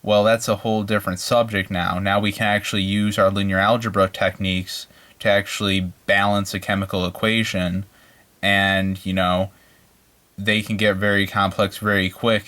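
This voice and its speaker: A man's voice: sits low, flat delivery, moderate pace, pushed and bright. A man giving an informal, unscripted introduction to an online maths course, rambling and hesitant, with plenty of filler phrases. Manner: rambling, hesitant, peppered with "you know" and "like". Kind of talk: informal, unscripted lecture talk.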